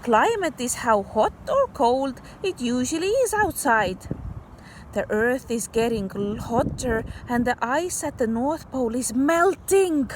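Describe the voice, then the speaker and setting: A woman with a fairly high voice reads a children's picture book slowly, like a teacher in class. She breaks off to explain things in simple words for young children. There is faint background noise around her. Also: sing-song. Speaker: teacher reading aloud to a class. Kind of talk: picture-book read-aloud with explanatory asides.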